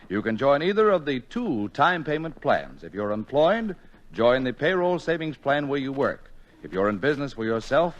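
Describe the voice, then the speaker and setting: A man reading a scripted advertisement as an old-time radio announcer. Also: mid-range voice. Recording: dull vintage radio recording.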